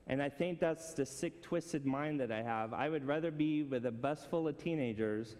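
A man's voice: medium pitch, moderate pace, darkish tone, long reverb tail. A man preaching a conversational sermon to a congregation, asking them questions. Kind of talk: casual sermon.

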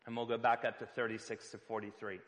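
A man preaching a sermon as pastor, loud-voiced and conversational, with filler words and playful asides. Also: fairly low pitch, flat delivery, fast talker, big-room sound.

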